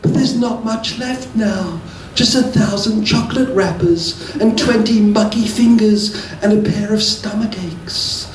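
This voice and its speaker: A man's voice: high voice, a little slow, soft background music. A man reading spooky poetry aloud at a live event with a theatrical, dramatic delivery. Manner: dramatic, swinging from hushed suspense to loud chanting. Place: live poetry event indoors, heard from a distance. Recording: roomy live venue, distant mic, faint noise.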